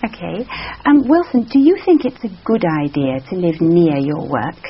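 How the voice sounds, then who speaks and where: Female examiner, lively intonation, steady questioning, dull recording. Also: fairly low pitch, slight background noise. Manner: measured, encouraging with frequent backchannels. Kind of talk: structured interview questions. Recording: dull lo-fi sound.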